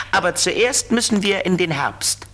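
A man's voice: high voice, lively intonation, takes it slowly, bright, forceful tone, exaggerated voice. A friendly magical ladybird car from a children's audio play. The voice swoops playfully and takes its time, cheerful and reassuring with every line. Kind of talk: 1970s children's audio drama acting.

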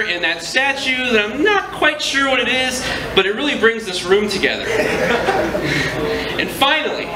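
A man, chatting casually with an audience and then reciting a spoken word poem on a small stage. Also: high voice. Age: 30s. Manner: chatty, joking intro, then rhythmic earnest recitation. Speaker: slam poet. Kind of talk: spoken word poetry performance. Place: small indoor venue stage with live audience. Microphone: stand mic, filmed from across the room. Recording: echoey room, audience noise.

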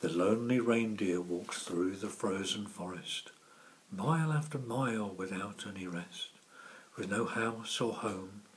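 Slow, low-voiced male storybook narrator. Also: poor audio. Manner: hushed, measured, often dropping to whispers. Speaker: author reading own story. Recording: faint background noise.

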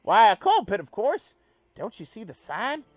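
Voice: mid-range voice, wildly swooping pitch, normal speed, no room sound, pitched above the performer's own voice. A reader voicing a zealous, bouncy male alien revolutionary who preaches in fervent speeches.

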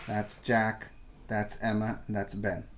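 Middle-aged male teacher, children's read-aloud, very slow and deliberate, with questioning asides. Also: lowish voice, completely flat delivery, a little hiss or hum. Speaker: preschool teacher. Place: small plain room indoors.

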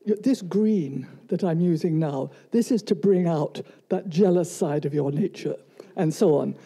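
A woman's voice: lowish voice, a little slow, mellow, husky. A woman in the audience telling a short anecdote that leads into her question for the painter. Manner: storytelling, leading into a question. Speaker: audience member asking a question. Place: college event hall with an audience.

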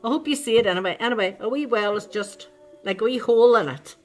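A woman's voice: on the high side, fast talker, faint music behind. An older woman, hosting a children's baking lesson in her home kitchen and talking straight to the young viewers with coaxing questions. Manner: chatty, talks directly to children, coaxing questions. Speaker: baking show host. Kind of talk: children's cooking demonstration.

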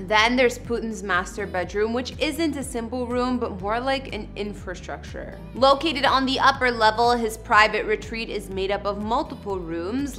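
A woman's voice: fairly high pitch, bright, forceful tone. A young woman hosting an online entertainment video, reading scripted narration with an emphatic presenter delivery. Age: young adult. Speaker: host of an entertainment house tour show.

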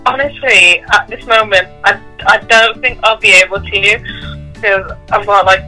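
A woman's voice: medium pitch, moderate pace, bright, forceful tone, soft background music, low-quality recording. A young woman chatting casually as a guest, heard over a call. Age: young adult. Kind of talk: casual chat. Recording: phone or internet call audio.

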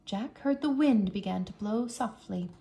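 Slow, high-voiced woman reading a story. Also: vocal fry, mellow. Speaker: English teacher reading aloud. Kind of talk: scripted children's story narration.

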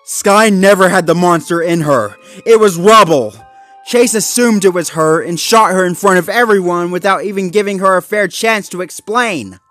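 Casting: accusing, hot-tempered cartoon dog man performing, higher than his natural voice, emphatic delivery, faint music. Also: sing-song, unhurried, a bit bright, no echo. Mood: furious, accusing. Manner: confrontational, emphatic. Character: angry, bitter, accusing male cartoon Labrador pup.

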